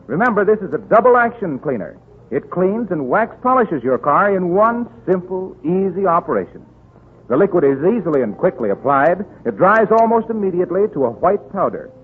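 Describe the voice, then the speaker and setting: A man's voice: high voice, sing-song, moderate pace, husky. An American man reading scripted copy as a 1930s radio announcer. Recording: tinny 1930s radio broadcast recording.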